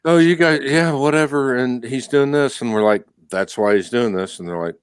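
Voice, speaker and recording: A man's voice: mid-range voice, normal speed, smooth, no echo. A man in his forties chatting casually as a guest on a podcast panel. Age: 40s. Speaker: podcast panelist, league member. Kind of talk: casual chat.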